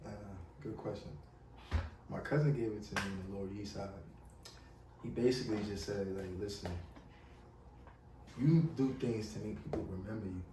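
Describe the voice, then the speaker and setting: A man's voice: lowish voice, moderate pace, pauses often, noisy background. A man with a New York accent, talking casually as a music artist guest in an interview, rambling with lots of "you know what I'm saying". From New York City. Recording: roomy, distant mic with faint ambience.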